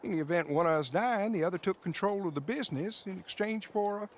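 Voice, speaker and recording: A man's voice: high voice, fast, a little hiss or hum. An actor playing a self-righteous, villainous Old West businessman in a game, florid and falsely courteous. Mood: indignant, pompous. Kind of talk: cinematic western game acting.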